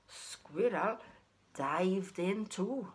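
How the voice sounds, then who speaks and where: Children's storyteller: middle-aged woman, unhurried, animated intonation, drawn-out phrases. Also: medium pitch, a little hiss or hum. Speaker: puppeteer and storyteller. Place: small living room, indoors.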